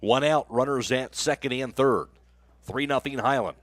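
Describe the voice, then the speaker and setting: A man's voice: mid-range voice, normal speed, no echo. An American man calling a baseball game live as a radio play-by-play announcer, in clipped phrases that follow the action.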